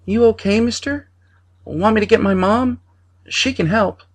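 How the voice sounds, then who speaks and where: Man putting on a high-voiced little boy. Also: unhurried. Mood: concerned. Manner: earnest and polite. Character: curious, innocent six-year-old boy.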